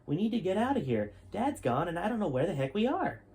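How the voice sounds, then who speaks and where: A man's voice: sits high, big pitch swings, quick pace, a little mellow, pitched above the performer's own voice. A man voicing an impatient, cheeky son in a storybook, with an urgent, insolent manner. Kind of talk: storybook character dialogue.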